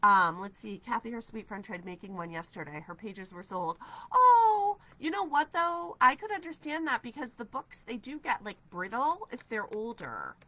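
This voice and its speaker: A woman's voice: fairly high pitch, big pitch swings, moderate pace, few pauses, bright, forceful tone, dry recording, rough recording. A woman in her forties with a stuffy head cold, chatting upbeat on a craft livestream in her studio, answering viewer comments. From New Hampshire, USA. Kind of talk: casual craft livestream chat. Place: craft studio with shelves of ribbon. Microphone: camera at desk distance.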